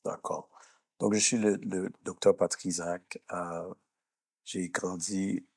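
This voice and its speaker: A mature man from Haiti and Canada, answering as an interview guest in a deliberate, searching, preacher-like explanatory way. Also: low voice, flat delivery, a little mellow, slightly rough, no room sound. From born in Haiti, grew up in Canada. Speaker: minister and executive coach, interview guest.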